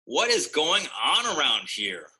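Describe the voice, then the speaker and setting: A heedless, greedy little storybook girl, acted out by a man. The voice is very bright and forceful. She speaks bluntly, in exclamations. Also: on the high side, huge pitch swings, takes it slowly, pitched above the performer's own voice, exaggerated voice, rough recording. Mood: exhausted grumbling. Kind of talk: storybook read-aloud character voice.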